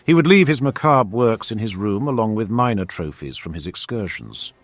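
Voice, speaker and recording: Deep-voiced man narrating fantasy lore. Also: expressive, normal speed, no room sound. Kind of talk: scripted fantasy story narration. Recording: faint background music.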